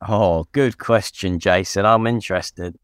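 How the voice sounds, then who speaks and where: A man's voice: on the low side, a little slow, clear, resonant tone, no room sound, studio quality. A British man co-hosting a podcast, chatting in an informal, matey way. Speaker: podcast co-host. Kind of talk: casual podcast chat.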